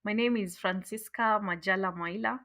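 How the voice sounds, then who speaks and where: A young woman from Kenya, an education student answering interview questions in a steady, measured way. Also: mid-range voice. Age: young adult.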